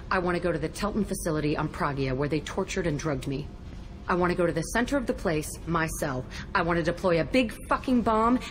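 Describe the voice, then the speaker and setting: An actress playing an embittered, tough young ex-prisoner in a video game, blunt, terse and profane. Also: female voice, lowish voice, a little hiss or hum, natural voice, rough recording. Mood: angry, defiant. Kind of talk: video game dialogue acting.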